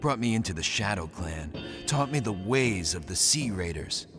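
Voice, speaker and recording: A man acting as a brash but loyal young pirate raider in a game cutscene. His voice is low and gritty, with music behind it. He carries himself with hard determination. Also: lively intonation, moderate pace, close to an everyday voice. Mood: grave, mourning. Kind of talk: video game cutscene acting and first-person narration.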